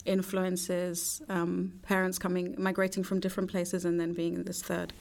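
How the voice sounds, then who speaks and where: Woman guest, lowish flat voice, slow thoughtful answers. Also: gravelly. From England. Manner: reflective, measured, with thoughtful pauses. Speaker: artist and poet guest. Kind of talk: interview. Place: studio.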